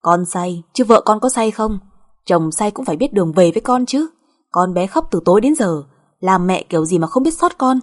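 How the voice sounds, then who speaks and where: A woman's voice: fairly low pitch, brisk, darkish tone, natural voice. A woman voicing a stern older mother-in-law who is curt, demanding and sarcastic in an audio drama. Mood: scolding.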